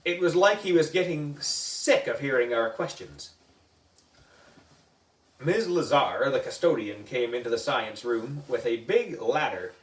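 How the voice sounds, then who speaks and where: A man reading a children's story aloud in an expressive, deliberate way, acting out the dialogue. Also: mid-range voice. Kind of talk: animated read-aloud narration. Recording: roomy echo, distant microphone.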